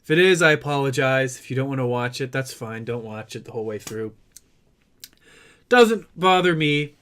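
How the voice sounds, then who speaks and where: A man's voice: medium pitch, animated, normal speed, fairly bright tone, clean tone. A man hosting a gaming video with casual, playful let's play commentary. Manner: playful asides, repeats the game's words.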